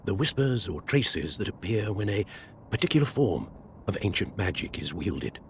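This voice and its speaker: An actor playing a grave, kindly professor of magic in a video game, formal and measured in manner. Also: male voice, fairly low pitch, big pitch swings, slight background noise. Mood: grave, instructive. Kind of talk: scripted video game acting.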